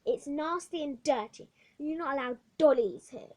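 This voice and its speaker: A woman's voice: high-pitched, moderate pace, darkish tone, close to an everyday voice. Woman doing a stern scolding matron. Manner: scolding, commanding. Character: stern bossy orphanage matron, scornful woman.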